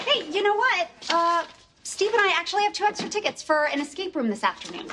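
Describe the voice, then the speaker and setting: An actress in a sitcom, delivering quick, teasing quips. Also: female voice, very high voice, very animated, background noise. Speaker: sitcom mother character. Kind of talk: sitcom acting. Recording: sitcom audio with studio laughter.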